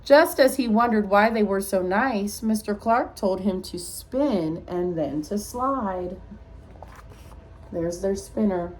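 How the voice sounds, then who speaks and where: A woman's voice: medium pitch, slow. A woman reading a rhyming picture book aloud like a teacher, expressively, with small asides to young listeners. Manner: expressive storytelling, addressing children.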